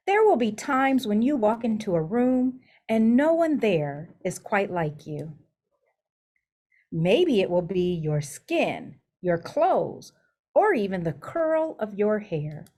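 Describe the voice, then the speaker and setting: A woman reads a picture book aloud at a very unhurried pace. Her voice sits at a middle pitch, and she phrases each line deliberately, with the expression of a storyteller. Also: darkish tone. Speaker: school superintendent reading a picture book aloud.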